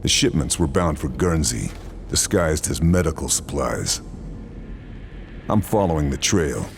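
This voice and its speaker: An actor playing a grim American sniper who narrates in a terse, matter-of-fact way. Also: male voice, a bass voice, lively intonation, a little slow, gravelly, slight background noise, full character voice. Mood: determined. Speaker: narrator and lead soldier.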